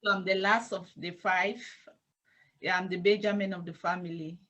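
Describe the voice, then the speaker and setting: A woman with a Cameroonian accent answering interview questions as a church intern, in formal, halting, measured phrases. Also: lowish voice, steady tone, a bit bright, highs cut off.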